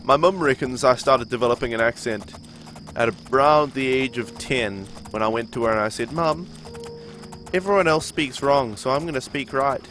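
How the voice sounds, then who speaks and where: A man's voice: mid-range voice, moderate pace, a bit bright, a little hiss or hum, rough recording. A young man from New Zealand with a New Zealand accent, chatting with his viewers while he plays a game. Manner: chatty, reads chat aloud and answers it. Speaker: streamer. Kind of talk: live game stream commentary.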